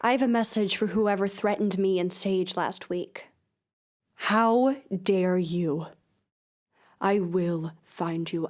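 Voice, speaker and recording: A woman playing a determined investigative journalist in an audio drama, speaking in a deliberate, earnest way. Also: mid-range voice, natural voice. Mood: defiant, threatening. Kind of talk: audio drama, scripted investigative podcast narration.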